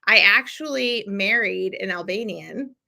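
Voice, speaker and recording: A middle-aged woman hosting a live-stream challenge, chatting warmly with viewers and encouraging them, with lots of ums and restarts. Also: mid-range voice, unhurried, creaky. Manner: chatty and encouraging, with many fillers. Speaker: podcast host running a live challenge. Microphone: streaming from a computer.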